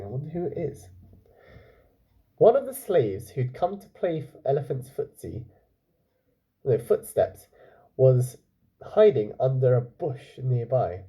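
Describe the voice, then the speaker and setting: A male teacher with a very soft, dark tone reads a children's story aloud. His pitch moves in lively sweeps as he narrates, then he steps aside to explain and ask questions. The voice has a husky edge. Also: low-quality recording.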